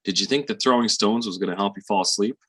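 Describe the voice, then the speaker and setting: A man playing a gentle, devoted middle-aged Southern father in stage-play dialogue, speaking in a fond, coaxing way. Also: on the low side, quick pace, husky. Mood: teasing, fond.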